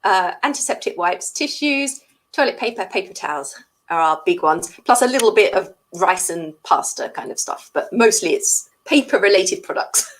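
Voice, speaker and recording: A woman's voice: medium pitch, lively intonation, normal speed. A woman in a casual book discussion, thinking aloud as a co-host with hesitant phrasing.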